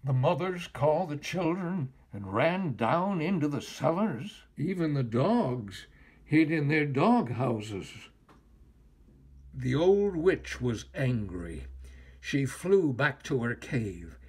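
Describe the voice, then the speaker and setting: An older man reading a children's story aloud in an expressive, deliberate, dramatic way. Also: on the high side, breathy edge. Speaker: storyteller, actor.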